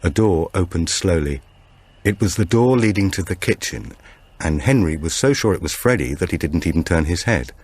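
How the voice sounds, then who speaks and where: A male audiobook narrator with a notably deep voice. He reads scripted suspense fiction as a storyteller. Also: lively intonation, normal speed, a little mellow, slightly rough, no room sound.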